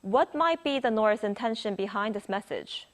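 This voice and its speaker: A woman news anchor interviewing a guest in a measured, formal broadcast style. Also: medium pitch, animated.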